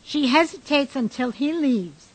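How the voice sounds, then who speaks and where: A woman narrates a radio drama in a high-pitched voice. She reads scene settings and stage directions as scripted narration. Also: lively intonation, a little slow, no echo, rough recording.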